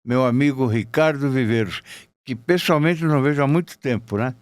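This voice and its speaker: A man's voice: medium pitch, moderate pace, no echo. A man with a Brazilian accent hosting a casual interview, conversational, with short affirming interjections. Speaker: podcast host and interviewer.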